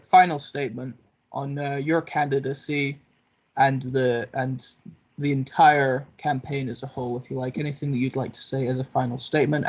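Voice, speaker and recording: Male moderator for an online candidate panel, higher-pitched, polite and slightly halting. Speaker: debate moderator. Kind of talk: hosting a candidate Q&A.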